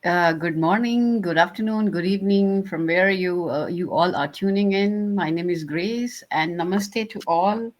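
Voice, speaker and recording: A woman from India, introducing herself on a video call with some hesitant fillers. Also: on the low side. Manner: hesitant with fillers, then rapid in Hindi. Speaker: guest. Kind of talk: casual self-introduction.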